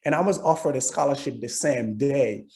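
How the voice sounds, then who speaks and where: Mellow-voiced Haitian man telling his story. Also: medium pitch, moderate pace. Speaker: panelist, dancer telling their story. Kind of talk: casual personal storytelling.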